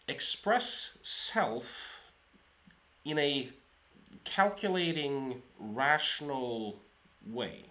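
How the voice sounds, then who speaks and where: A middle-aged man from Norway, a psychotherapist, giving a measured, explanatory solo talk to camera. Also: mid-range voice, bright, forceful tone. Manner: measured, explanatory, thinking aloud. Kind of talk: solo video lecture.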